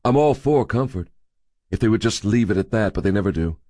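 A man's voice: lowish voice, animated, a little fast, dark tone, no echo. A man voicing a cool, cynical male drifter in a dry, conversational manner.

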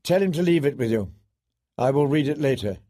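A man voicing a serious young lord commander in an audiobook, measured and dry in delivery. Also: medium pitch, animated, mellow, close to an everyday voice. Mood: firm.

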